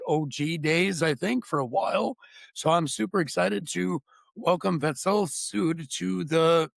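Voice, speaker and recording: A man's voice: fairly high pitch, vocal fry, no echo. A man hosting a podcast, welcoming his guest in an upbeat, casual way. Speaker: podcast host.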